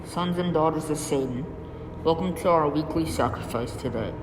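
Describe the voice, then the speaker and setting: Solemn, menacing male cult leader, amateur actor, higher-pitched, proclaiming in a noisy, reverberant hall. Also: moderate pace, natural voice. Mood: solemn, ceremonial. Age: young adult.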